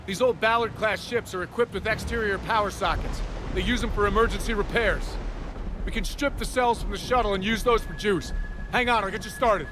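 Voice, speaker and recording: Man acting a high-voiced military shuttle pilot over noise. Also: fairly quick, lightly acted. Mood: urgent, practical. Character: steady, practical male military shuttle pilot. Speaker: pilot and mechanic. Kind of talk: video game cutscene acting.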